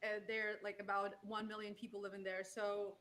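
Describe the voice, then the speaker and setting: A woman's voice: medium pitch, flat delivery, normal speed, fairly bright tone. A woman from Ukraine, co-hosting a casual live-stream chat. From Odessa, Ukraine. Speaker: show co-host.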